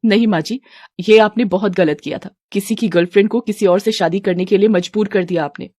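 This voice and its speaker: A woman's voice: medium pitch, fairly quick, darkish tone, no echo, clean studio sound, natural voice. A woman voicing a suspicious young wife, anxious but determined, in a storybook tale. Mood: bold.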